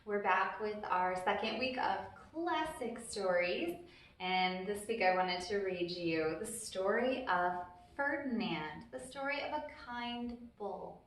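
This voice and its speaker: A woman with a mid-pitched voice narrates a children's story as a storytime host. Her delivery is expressive and simple, pitched at young listeners. The room is very echoey, with strong reflections around her voice. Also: slow pace. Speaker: storytime host reading a children's book aloud.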